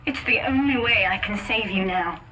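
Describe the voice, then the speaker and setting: A woman's voice: medium pitch, normal speed, close to an everyday voice. Woman acting a fierce young survivor daughter, noisy backdrop. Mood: earnest. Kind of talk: dramatic video game acting.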